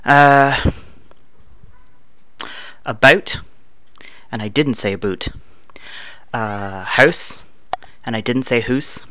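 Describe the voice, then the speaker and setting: A man's voice: mid-range voice, very slow, pauses often, no room sound. Casual Canadian man in his thirties. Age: 30s. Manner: relaxed, offhand, dry humor with many filler uhs. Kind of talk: casual accent-tag recording, reading prompts aloud. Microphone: no proper microphone, home setup.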